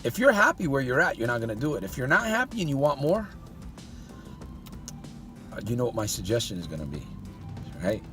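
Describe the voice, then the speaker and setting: A man talks as a life coach in a motivational monologue, his pitch rising and falling widely from one phrase to the next. He keeps pressing the listener with repeated rhetorical questions and calls them "my friend". Soft music plays faintly underneath. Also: huge pitch swings, brisk, long pauses.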